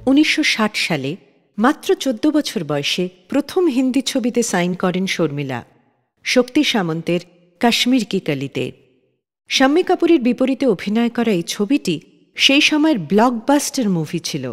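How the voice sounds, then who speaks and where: A woman's voice: a little high, big pitch swings, moderate pace. A woman reading scripted narration as the host of a radio show. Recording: long reverb on the voice.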